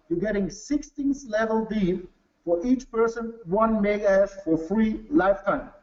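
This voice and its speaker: German-accented man presenting in dull, lo-fi audio. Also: high voice. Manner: earnest, halting non-native English with restarts. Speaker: company executive presenting to a webinar. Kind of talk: webinar business presentation.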